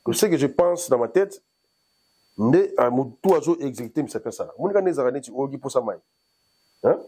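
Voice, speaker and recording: A man's voice: mid-range voice, expressive, normal speed, warm tone, slightly rough. A man from Congo, a guest musician, chatting loosely in an interview and rambling as he switches between French and Lingala. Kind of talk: casual interview chat. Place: in Paris.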